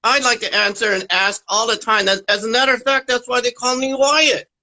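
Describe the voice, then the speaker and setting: A man voicing an eager four-year-old boy puppet in a children's puppet show, excitable and repeating his words. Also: extremely high pitch, brisk, gravelly, heavily acted. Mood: playful.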